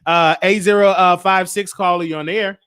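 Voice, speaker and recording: An American man hosting a live call-in show in a casual, animated, repetitive way. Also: high-pitched, brisk, clean tone. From Chicago, United States. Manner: animated, repeats himself. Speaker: online call-in show host.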